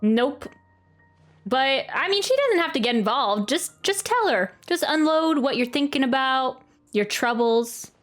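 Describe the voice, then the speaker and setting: A woman livestreamer giving a casual reaction to a video game, chatty and halting as she thinks aloud. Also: high-pitched, animated. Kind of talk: casual live reaction commentary. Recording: game music under the voice.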